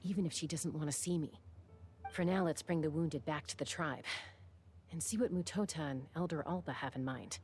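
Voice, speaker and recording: A guarded young woman from a video game, speaks in a low register with a gravelly fry. She is measured and wistful, reflective as she tells her story, with soft music underneath. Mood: regretful, resolute. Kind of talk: video game dialogue acting.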